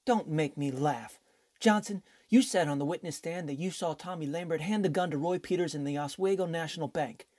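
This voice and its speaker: A rough-voiced young lawyer in a radio play, acted by a man. He is hard-nosed and impatient, pushing every line with urgency. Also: a little high, a little fast, a little mellow, lightly acted. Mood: menacing. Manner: tough, urgent. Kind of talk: old-time radio drama acting.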